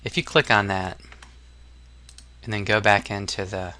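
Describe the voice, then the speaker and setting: A man narrating a casual software tutorial as an instructor, explaining step by step in an informal, somewhat hesitant way. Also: on the low side, steady tone, a little slow.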